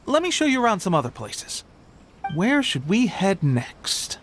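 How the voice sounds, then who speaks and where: Eager young resistance fighter, animated high voice, proud and emphatic, light music behind. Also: male voice, measured pace, full character voice. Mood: encouraging. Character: eager, proud young male resistance soldier stuck in logistics. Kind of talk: English video game voice acting.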